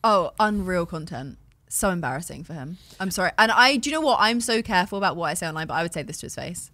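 A woman's voice: lowish voice, dry recording. A woman, chatting frankly as a podcast guest and laughing easily. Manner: frank, animated, quick to laugh. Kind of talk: casual chat. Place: podcast studio. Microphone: boom mic close up.

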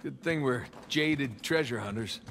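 A man's voice: medium pitch, measured pace, vocal fry, fairly bright tone, a little hiss or hum. An American actor playing a casual, wry, jaded treasure hunter adventurer in a video game, joking as he goes. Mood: wry, curious. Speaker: video game lead character. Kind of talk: cinematic video game dialogue. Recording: game music behind the voice.

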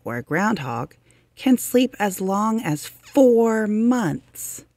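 A storybook narrator reads nonfiction aloud for children at a slow, careful pace. The delivery is expressive, stretching key words for emphasis. The voice is recorded close and dry. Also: medium pitch, gravelly, no echo. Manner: deliberate, expressive, with drawn-out emphasis. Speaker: narrator reading a children's science book aloud.

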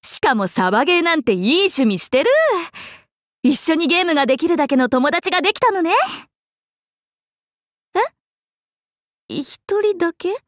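A woman voicing a playful, cheerful young swordswoman in an anime mobile game, breezy, expressive and teasing like a big sister. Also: high voice, moderate pace, pauses often, no echo, studio quality. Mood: surprised, chatty. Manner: breezy, expressive, teasing, casual with sudden formal turns.

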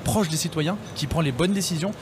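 Brisk, firm-spoken mayor, a man. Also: fairly high pitch. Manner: firm, fluent political argument. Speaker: mayor, radio guest. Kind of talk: radio interview answers. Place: radio stand in a busy trade fair hall. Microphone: table radio mic with headphones. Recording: noisy trade fair hall.

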